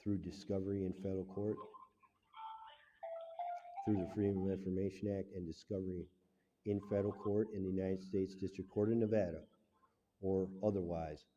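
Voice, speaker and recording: Low-voiced man in a heavily echoing recording. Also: fairly even intonation, moderate pace, pauses often, dark tone, a little hiss or hum. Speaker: caller to a government agency. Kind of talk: formal complaint on a recorded phone call. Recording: muffled, very reverberant amateur call recording.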